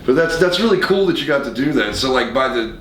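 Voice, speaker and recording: A man's voice: medium pitch, expressive, fast talker, fairly bright tone. A man hosting a casual podcast chat, talking in an enthusiastic, informal, agreeable and rambling way. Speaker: podcast host. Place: echoey podcast studio. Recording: roomy, distant mic with faint noise.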